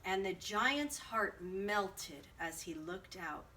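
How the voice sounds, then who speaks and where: Bright-voiced woman reading a storybook. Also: medium pitch, lively intonation, slow pace. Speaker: storybook reader. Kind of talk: expressive read-aloud children's story narration. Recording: distant mic, faint background noise.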